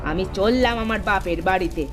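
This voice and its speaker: A woman's voice: medium pitch, brisk, over music, lightly acted. A woman playing a quarrelsome, suspicious village housewife in a cartoon story, scolding and wailing theatrically. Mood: wronged, accusing. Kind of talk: Bengali cartoon story acting.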